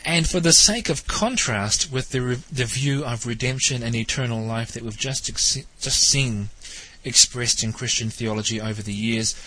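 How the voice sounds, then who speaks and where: Nz guy with a blocked stuffy nose, husky and kinda sharp, teasing, asking the listener questions. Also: medium pitch, moderate pace, few pauses, rough recording. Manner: wry, questioning, lightly teasing. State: nasal, stuffed up from hay fever. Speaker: talk show host. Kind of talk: spoken theology commentary monologue.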